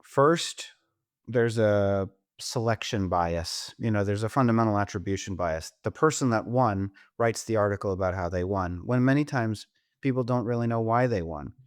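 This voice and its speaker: A man's voice: on the low side, moderate pace, clear, resonant tone, no room sound, studio quality. A man hosting a conversational business podcast, speaking emphatically and repeating phrases for effect. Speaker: podcast host.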